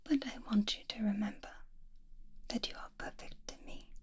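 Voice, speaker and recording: A woman playing a doting, possessive and wry mad scientist in a whispered ASMR fantasy roleplay, with a soft, breathy voice and a theatrical, coaxing manner full of endearments. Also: mid-range voice, steady tone, normal speed. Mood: tender, doting.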